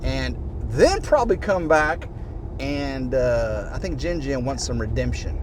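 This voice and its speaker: A man's voice: medium pitch, sing-song, unhurried. A man with a mild American Southern accent, chatting casually in a folksy, joking, rambling way with repeated words and fillers. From southeast Missouri, United States. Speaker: vlog host. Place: inside a moving vehicle. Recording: loud car and road noise.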